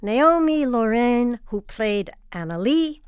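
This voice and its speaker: A woman's voice: a little high, expressive, slow pace, clean tone, dry recording. A woman hosting a theatre podcast, interviewing guests in a studio discussion. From Transylvania family background.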